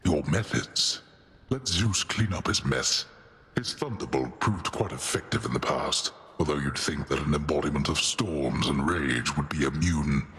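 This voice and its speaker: Stern, extremely deep-voiced god. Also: male voice, unhurried, a bit bright. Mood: scornful, stern. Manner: formal, dismissive. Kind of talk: video game voice acting.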